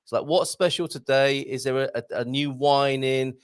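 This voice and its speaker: A man's voice: medium pitch, steady tone, moderate pace, clean tone. A man hosting a live question show, reading viewer questions and talking enthusiastically, often repeating words. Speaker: show host.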